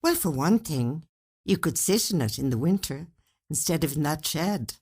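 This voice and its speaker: A woman reading the part of an eager, hopeful older wife in a storybook, excited and pleading. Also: sits low, moderate pace, husky, full character voice. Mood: protesting.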